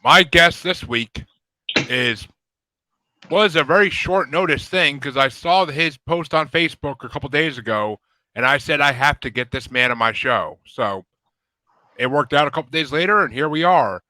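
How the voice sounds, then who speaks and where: A man's voice: fairly high pitch, expressive, normal speed, sharp tone, dry recording, rough recording. A man hosting a live paranormal podcast, easygoing and chatty as he welcomes his guest. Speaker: podcast host.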